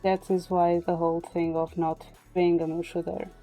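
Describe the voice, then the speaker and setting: Mellow-voiced woman, native Portuguese speaker. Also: on the low side, flat delivery, faint music behind, low-quality recording. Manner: halting, searches for English words. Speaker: livestreamer. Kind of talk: casual chat. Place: indoor livestream with music behind.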